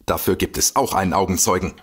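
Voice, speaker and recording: An actor playing a smug, bumbling amateur detective in a comedic audio drama, theatrical and easily exasperated. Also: male voice, low-pitched, fast, breathy edge.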